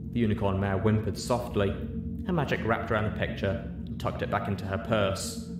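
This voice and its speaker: A man reading scripted story narration as an audiobook narrator. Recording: roomy echo, audible noise, low rumble.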